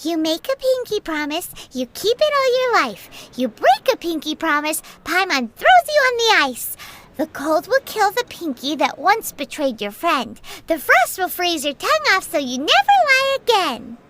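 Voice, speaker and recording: A woman voicing a tiny, cheeky, excitable childlike fairy companion in a squeaky voice for a video game. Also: moderate pace, slight background noise, exaggerated voice. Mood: playful, earnest. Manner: childlike, refers to herself by name. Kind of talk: English game dub acting. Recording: background music under the voice.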